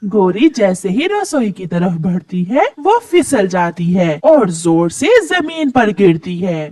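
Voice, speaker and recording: Female storyteller for a children's cartoon, animated pitch swings, dry close sound. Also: slow pace, no echo. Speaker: narrator.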